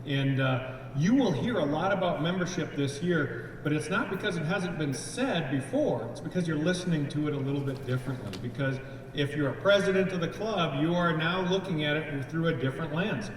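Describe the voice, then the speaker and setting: A man's voice: a little high, lively intonation, brisk, far from the mic, slight background noise. Earnest man speaking in an echoey hall. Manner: earnest, with many restarts. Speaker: district membership chair. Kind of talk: enthusiastic presentation. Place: large event room.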